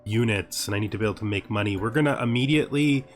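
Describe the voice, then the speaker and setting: A man's voice: fairly low pitch, racing. A man giving gaming commentary as a series host, thinking aloud as he plays. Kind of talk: gaming commentary with scripted intro narration. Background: background music.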